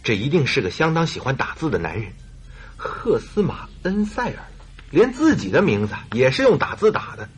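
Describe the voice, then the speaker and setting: A man acting in a radio drama as an excitable, easily exasperated sidekick, huffy and full of exclamations. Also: medium pitch, a little fast, breathy edge. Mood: intrigued.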